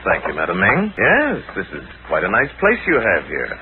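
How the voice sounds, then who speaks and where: Courteous, expressive radio sleuth hero. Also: male voice, sits low. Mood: probing, courteous. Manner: measured, polite, formal. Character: calm, courteous, shrewd male crime-solving hero. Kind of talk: old-time radio drama acting. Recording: tinny, faintly noisy vintage radio recording.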